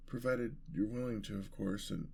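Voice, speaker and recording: A man playing a gentle, lovestruck, world-weary vampire in an ASMR roleplay, with courtly, flowery and tender phrasing. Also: on the low side, steady tone, moderate pace, creaky, breathy edge. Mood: sympathetic, subdued. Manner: formal, flowery, tender old-fashioned phrasing.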